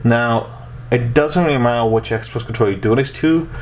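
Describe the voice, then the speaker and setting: A man's voice: mid-range voice, normal speed. A man in his twenties giving a casual step-by-step tech tutorial, rambling and often repeating his phrases. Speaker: host of a tech tutorial channel. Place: small room at home, indoors. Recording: noticeable background noise.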